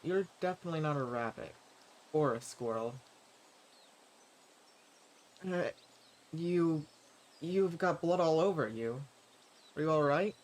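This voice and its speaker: A man playing a gentle, level-headed wandering adventurer in an audio roleplay, speaking haltingly and directly to the listener. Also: fairly high pitch, lively intonation, lightly acted. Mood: calm, friendly, then worried.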